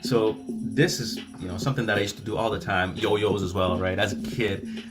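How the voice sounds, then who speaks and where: A middle-aged man with a fairly low voice hosts a relaxed livestream chat, rambling and restarting his words as he goes. Music plays clearly behind him. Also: moderate pace. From El Salvador. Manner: rambling, chatty, restarts words while handling toys. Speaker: YouTube channel host. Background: music playing.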